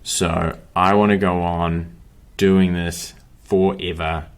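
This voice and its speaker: A man's voice: deep voice, flat delivery, takes it slowly. A young man coaching runners, wrapping up a course in upbeat, motivational short phrases. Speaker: running and breathing coach. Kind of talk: course wrap-up talk to camera.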